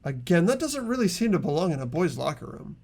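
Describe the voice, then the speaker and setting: A man's voice: on the high side, very animated, fast talker, vocal fry, a little mellow, heavily acted. A man playing an earnest teenage boy who reasons aloud tentatively in an amateur game dub. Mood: earnest, thoughtful.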